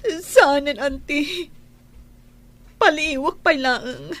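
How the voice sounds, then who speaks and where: A woman's voice: high-pitched, very slow, a bit bright, full character voice. A woman acting a hurt, anxious young wife in a radio drama, plaintive and questioning, with an Ilocano accent. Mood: tearful, worried.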